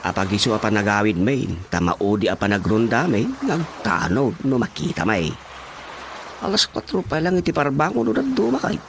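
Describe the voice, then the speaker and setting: A man's voice: lowish voice, very animated, normal speed, vocal fry. A man with an Ilocano accent playing a sheepish, hesitant villager in a radio drama comedy. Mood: casual. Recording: faint noisy ambience behind.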